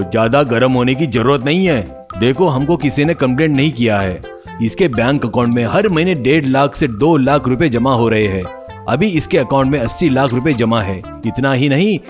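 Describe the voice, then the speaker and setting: A man's voice: medium pitch, close to an everyday voice. A man playing a stern income tax officer in a cartoon story, explaining firmly and with authority.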